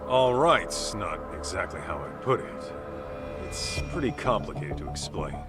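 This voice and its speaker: A gruff, blunt street detective in a video game drama. His low voice swings animatedly through casual, slangy lines, with music clearly playing behind him. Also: big pitch swings, a little slow. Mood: measured, serious. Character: gruff, easygoing tough male street detective, fond of cursing. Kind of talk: dramatic game cutscene dubbing.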